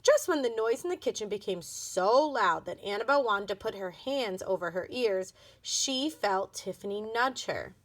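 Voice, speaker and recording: A woman reading a children's book aloud as an expressive storybook narrator. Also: mid-range voice, moderate pace, few pauses.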